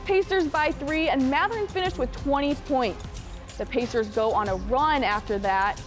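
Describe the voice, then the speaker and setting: A young woman anchoring a local TV sportscast, reading highlights with punchy, excited calls on big plays and wry asides. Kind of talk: scripted sportscast narration over highlights.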